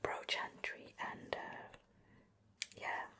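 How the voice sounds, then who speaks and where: A woman hosting an ASMR show and tell in a soft, breathy whisper, chatting casually and unhurriedly close to the microphone. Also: drawn-out words. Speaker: ASMR host. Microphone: close ear-to-ear microphone.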